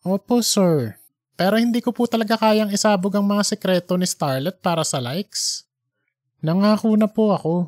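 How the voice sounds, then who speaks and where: A man's voice: sits high, moderate pace, darkish tone, no echo, studio quality, pitched above the performer's own voice, lightly acted. A man voicing an earnest young reporter who speaks politely and hesitantly, pleading with his boss in an audiobook story. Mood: apologetic, pleading.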